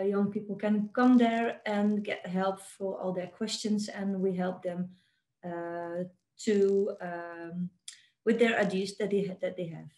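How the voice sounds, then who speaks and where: A woman from the Netherlands introduces her youth organization. She speaks hesitantly, and her voice trails off quietly at the ends of phrases. The room gives her voice a noticeable echo. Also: mid-range voice.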